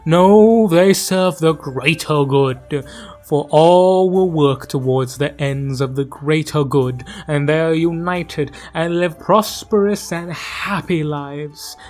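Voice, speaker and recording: A man playing an ominous, grandiose narrator who warns a traveler of horrors in theatrical fantasy audio drama, with drawn-out melodramatic phrasing and hushed whispers. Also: a little high. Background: music.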